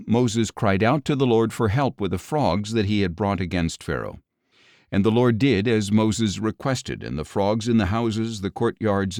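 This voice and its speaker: A man reading scripture as an audiobook narrator, in a measured, solemn manner with clear enunciation. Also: low-pitched, darkish tone, no echo, studio quality.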